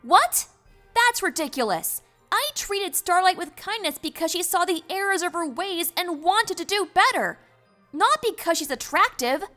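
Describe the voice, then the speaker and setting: A young female pony princess from a cartoon, voiced by a woman, earnest and easily exasperated. The voice is high and forceful, defensive and emphatic, over light music. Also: lively intonation, moderate pace, full character voice. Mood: indignant. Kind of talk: fan fiction audio drama acting.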